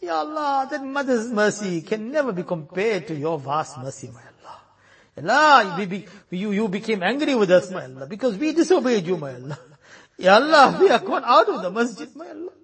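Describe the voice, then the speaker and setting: A woman leading an emotional, pleading supplication on a radio program, often near tears, each phrase ending in a soft, falling refrain. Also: big pitch swings, a little fast, slightly rough. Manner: tearful, pleading, repeated refrain of "my Allah"; voice rises high with emotion and drops soft at the end of each phrase. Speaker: radio dua leader.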